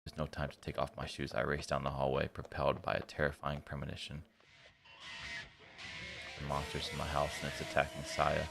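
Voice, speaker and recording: A man's voice: rumbling low, completely flat delivery, fairly quick, creaky. A man streaming a visual novel, reading its text aloud dramatically and breaking off for blunt, slangy, casual reactions. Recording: loud music behind the voice. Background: game music.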